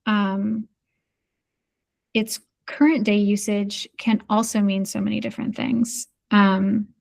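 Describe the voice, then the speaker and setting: Flat-voiced woman musing slowly. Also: medium pitch, vocal fry. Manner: thoughtful, hesitant, many fillers. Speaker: podcast guest. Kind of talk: casual conversation.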